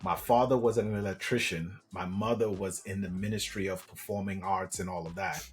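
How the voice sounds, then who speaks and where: A middle-aged man with a low voice tells his story in a friendly, lively way. Faint music plays underneath. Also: normal speed. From Trinidad and Tobago. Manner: animated, friendly, peppers speech with "man". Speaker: guest, government contracting coach. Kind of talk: casual interview storytelling.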